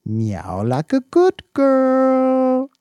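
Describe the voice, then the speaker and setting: A man voicing Slope, a petty male official with a shrill, wheedling voice. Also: wildly swooping pitch, extremely slow, warm tone, smooth, no room sound, studio quality, full character voice. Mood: cooing. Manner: high, shrill, wheedling and cooing.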